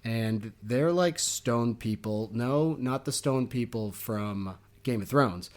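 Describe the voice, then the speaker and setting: Jokey, animated young man hosting a podcast. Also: mid-range voice, takes it slowly. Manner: jokey and animated, laughs often. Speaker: podcast co-host. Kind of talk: casual book chat.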